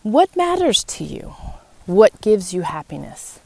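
A woman hosting a podcast, giving an earnest, reflective, encouraging motivational talk about life and faith in short phrases with pauses. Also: mid-range voice, sing-song, creaky, mellow, no room sound. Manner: encouraging, addressing listeners directly. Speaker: podcast host. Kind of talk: personal, faith-based motivational talk to listeners. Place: outdoors in wind.

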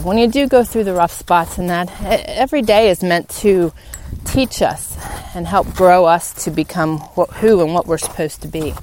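A woman's voice: medium pitch, a little mellow. A woman hosting a solo podcast, talking informally and earnestly as she encourages her listeners. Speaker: podcast host. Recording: clearly noisy background with clicks.

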